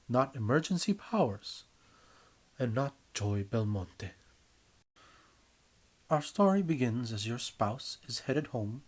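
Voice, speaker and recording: Husky man reading a story theatrically. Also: mid-range voice. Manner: theatrical, emphatic, deliberate phrasing. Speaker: writer and reader of own story. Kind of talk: dramatic scripted story narration in second person.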